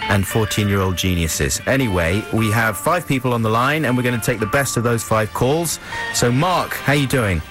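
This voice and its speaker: Man, radio host, friendly caller chat, middle pitch, music clearly behind. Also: normal speed.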